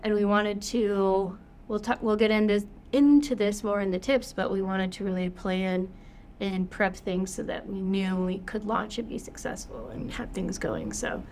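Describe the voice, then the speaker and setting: A woman's voice: mid-range voice, fairly even intonation, moderate pace, a little mellow. Young female podcast co-host with a cold, upbeat, casual, thinking aloud, faint hiss behind. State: has a cold. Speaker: podcast co-host, yoga teacher.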